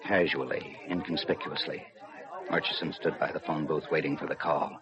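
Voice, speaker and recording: A low, steady male voice as a businesslike police lieutenant in old radio crime drama. The recording is muffled, with a busy crowd behind him. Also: moderate pace. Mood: grave, tense narration. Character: steady, businesslike railroad police lieutenant, narrating his case. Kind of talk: 1950s radio crime drama narration and dialogue.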